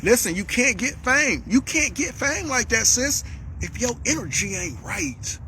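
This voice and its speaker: Animated high-voiced man ranting. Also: a little slow, a little hiss or hum. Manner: animated, repetitive, swings to shouting. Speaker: vlogger calling out a rival. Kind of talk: heated monologue.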